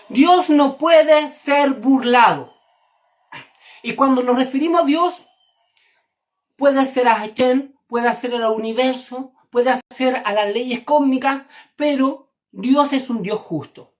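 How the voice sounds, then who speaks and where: A woman giving a religious teaching, speaking directly to the listener in an emphatic, didactic way and repeating phrases for stress. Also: fairly high pitch. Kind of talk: Torah and Kabbalah sermon, direct address to listener.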